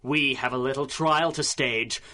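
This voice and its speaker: Bright-voiced, grandiose male supervillain. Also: mid-range voice, steady tone, unhurried. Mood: defiant. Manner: grandiose, oratorical, accusing. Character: vengeful, theatrical male supervillain playing prosecutor at mock trial. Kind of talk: 1930s radio serial acting.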